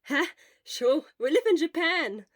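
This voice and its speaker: A woman's voice: high-pitched, animated, unhurried, no echo, clean studio sound, pitched above the performer's own voice. A woman voicing a caring, earnest teenage boy, a loyal friend who talks emphatically and energetically, in an audio story. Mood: puzzled.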